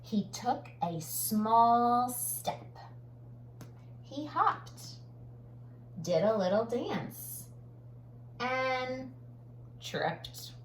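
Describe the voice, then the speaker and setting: A woman reading a children's picture book aloud like a teacher, dramatic on sound words and pausing to comment. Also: fairly high pitch, lively intonation. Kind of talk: expressive children's read-aloud with explanatory asides. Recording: roomy echo, faint background noise.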